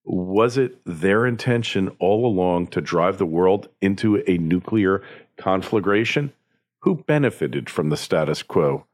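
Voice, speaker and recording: A man's voice: sits low, animated, a little slow. An American man delivering opinion commentary as a podcast host, in an emphatic, opinionated way. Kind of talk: opinion commentary, partly scripted monologue.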